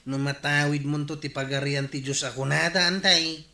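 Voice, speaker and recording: A teasing, talkative villager in a Filipino radio comedy drama. His Filipino-accented voice sits fairly high and bright, with a clear room echo. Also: steady tone, moderate pace. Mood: playful.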